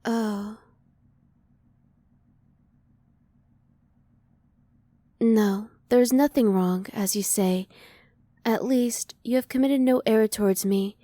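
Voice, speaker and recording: A reserved female alien scientist, acted by a woman for an ASMR roleplay, close and very dry. The voice is mellow and soft, with careful, formal phrasing and a cool, curious restraint. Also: a little high, unhurried, dry recording, close to an everyday voice. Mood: curious, awkward, then composed, reassuring. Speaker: voice actor.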